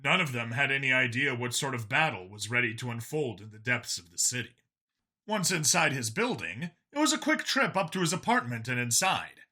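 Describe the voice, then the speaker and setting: A man narrates a piece of fiction with a very bright, sharp-edged voice. His reading is expressive and dramatic, in the practiced manner of an audiobook narrator. The pitch sits in an ordinary male range. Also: a little fast. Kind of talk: scripted story narration.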